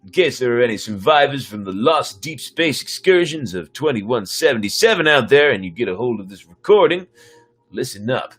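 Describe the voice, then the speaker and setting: Husky man giving a dramatic narration. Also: mid-range voice, sing-song, normal speed, fairly bright tone, faint music behind. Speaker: storyteller reading his own sci-fi story on a stream.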